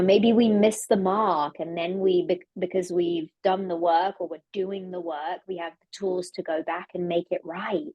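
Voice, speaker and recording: A woman's voice: lowish voice, dark tone, no room sound, low-quality recording. English woman chatting on a podcast, earnest and reflective, keeps restarting her sentences, dry close mic. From London. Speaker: podcast guest, leadership coach. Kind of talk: casual conversation.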